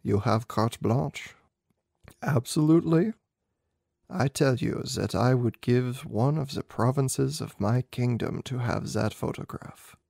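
A man's voice: mid-range voice, slow, gravelly, mellow, husky, no echo, clean studio sound, full character voice. A man voicing an anxious, proud young king in a storybook reading, solemn and emphatic. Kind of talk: amateur audiobook character reading.

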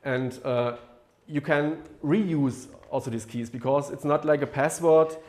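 A man in his thirties giving a technical talk at a meetup, seated at a laptop in a lecture room, often pausing with fillers and restarting his sentences. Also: a little high, darkish tone. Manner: hesitant, many fillers and restarts. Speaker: meetup speaker. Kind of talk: technical presentation. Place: lecture room with projector screen.

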